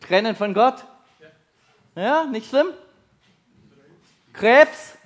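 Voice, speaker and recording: A man from South Africa whose first language is English, with a South African accent, preaching a sermon in halting, non-native German and putting questions to his listeners. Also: very high-pitched, animated. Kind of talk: sermon to a congregation.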